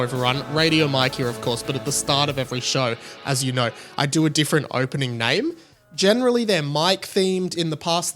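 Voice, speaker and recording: A man's voice: fairly high pitch, a bit bright. A young Australian man hosting a solo podcast, chatting casually in a rambling, self-deprecating way. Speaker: podcast host.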